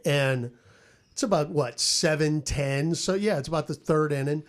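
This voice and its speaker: A man's voice: fairly high pitch, moderate pace. An American man, a sports broadcaster, telling stories on a podcast roundtable. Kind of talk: animated storytelling on a podcast roundtable.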